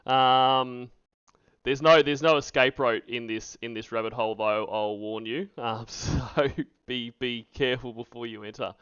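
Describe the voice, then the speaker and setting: A man's voice: medium pitch, fairly even intonation, measured pace, fairly bright tone, smooth, no echo. A man hosting a livestream, giving casual, sarcastic commentary in a mocking, profane way. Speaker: livestream host reacting to recorded calls. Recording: dull lo-fi stream audio.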